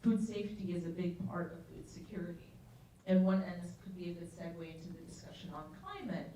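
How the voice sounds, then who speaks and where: A woman's voice: on the low side, darkish tone, slight background noise. A woman from Ethiopia speaking on a panel, explaining ideas thoughtfully with frequent restarts. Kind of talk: panel discussion. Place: large university event hall. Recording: echoey hall, far from mic.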